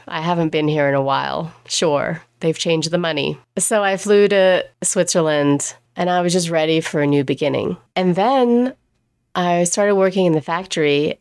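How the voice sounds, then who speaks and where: Woman from England, pastry chef, chatty first-person storyteller who quotes others and laughs; smooth low voice. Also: a little mellow.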